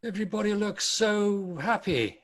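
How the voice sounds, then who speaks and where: Male actor as anxious old miser, swooping intonation, high voice, questioning, dramatic reading. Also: lively intonation, normal speed. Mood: puzzled. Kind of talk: dramatic table read. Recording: remote video-call recording.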